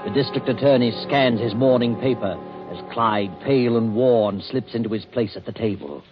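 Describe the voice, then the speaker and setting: An actor playing an ominous, insinuating narrator in an old radio drama, speaking like a nagging conscience. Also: male voice, medium pitch, moderate pace, natural voice. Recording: muffled old radio recording. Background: loud dramatic music.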